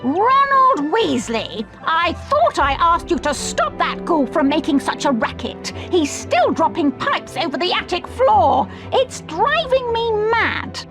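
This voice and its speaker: A woman's voice: extremely high pitch, big pitch swings, slow, few pauses, over music, full character voice. A woman playing a scolding, worried middle-aged witch mother with a British accent in a video game, speaking emphatically. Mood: angry, fretful. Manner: exasperated, emphatic. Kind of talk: video game character acting.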